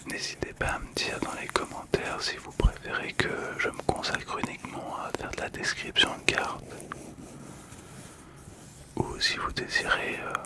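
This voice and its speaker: Male, steady breathy whisper, gentle, soothing, ASMR description, close-miked, unhurried pauses. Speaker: ASMR video host. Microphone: close ASMR microphone, monitored on headphones.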